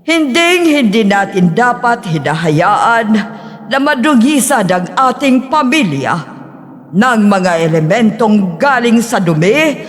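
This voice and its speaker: A woman playing a rich, scheming sixty-year-old matriarch, speaking in an imperious, measured, formal way in an audio drama. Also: a little high, sing-song. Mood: grandly gracious. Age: older. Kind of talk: dramatized audio story acting. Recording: long hall reverb.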